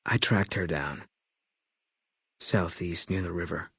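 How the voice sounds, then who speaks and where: A man voicing a grim, embittered military sniper in a video game, terse and guarded, with a husky voice. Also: sits low, slow, dry recording, full character voice. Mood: bitter, subdued. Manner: terse, guarded, flat, clipped delivery. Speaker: video game companion character. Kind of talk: game voice acting, terse dialogue lines.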